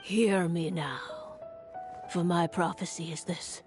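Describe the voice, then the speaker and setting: A woman's voice: fairly low pitch, fairly even intonation, takes it slowly, music in the background. A woman playing a proud, solemn witch prophetess in a video game, with a grand and haughty manner. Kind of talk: theatrical video game voice acting.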